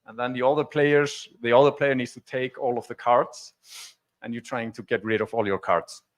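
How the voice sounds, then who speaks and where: A man's voice: mid-range voice, steady tone, normal speed. A man from Iceland, speaking as a conference presenter in an informal, explanatory and conversational way during a technical demo talk. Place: conference stage before an audience.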